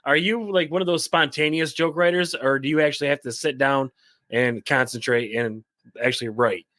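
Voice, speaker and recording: A man's voice: mid-range voice, moderate pace, bright, forceful tone, dry recording, studio quality. A man hosting a podcast, asking curious, chatty questions and laughing easily. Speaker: podcast host. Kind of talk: casual interview.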